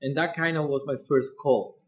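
Young ecuadorian guy with a spanish accent, earnest, lots of uh and restarts, mid-pitched. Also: fairly even intonation. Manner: earnest, halting with fillers and repeated words. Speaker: seminarian. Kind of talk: personal vocation story.

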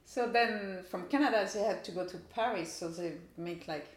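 A woman's voice: mid-range voice, normal speed. A woman asking informal interview questions, tentative and trailing off, with non-native phrasing. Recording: echoey room.

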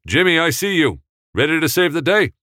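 A man voicing a commanding male film director who shouts orders on set. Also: fairly low pitch, big pitch swings, measured pace, no room sound, clean studio sound, voice pushed up, exaggerated voice. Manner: barking, emphatic.

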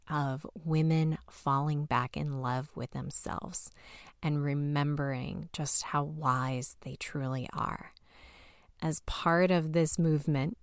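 A woman's voice: low voice, creaky. A woman podcast host, introducing her episode and guest in an earnest, enthusiastic way.

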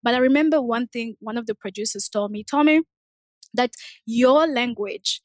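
A woman's voice: on the high side, moderate pace, a little mellow, dry recording. A young woman from the DRC, casually telling a personal story and acting out what others said. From Democratic Republic of the Congo. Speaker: panel discussion participant.

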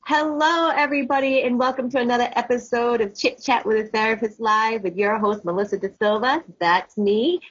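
A woman's voice: on the high side, fairly quick, few pauses, slightly rough, no room sound, highs cut off. A woman hosting her own podcast, upbeat and chatty, promoting her work and interviewing a guest. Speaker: podcast host. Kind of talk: casual interview and self-promotion.

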